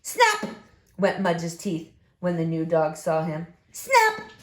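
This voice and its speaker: A woman reading a storybook aloud to young children in an expressive, emphatic, deliberate way. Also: on the low side. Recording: roomy echo, faint background noise.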